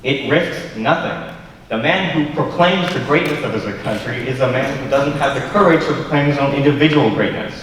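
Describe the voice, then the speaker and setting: A young man acting a contrary, cynical drunken poet in a high school stage play, speaking in a deliberate, articulate, argumentative way. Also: a bit bright, lightly acted. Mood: cool, provoking. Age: young adult. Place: large school auditorium stage. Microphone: far camera. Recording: distant, echoey auditorium with faint noise.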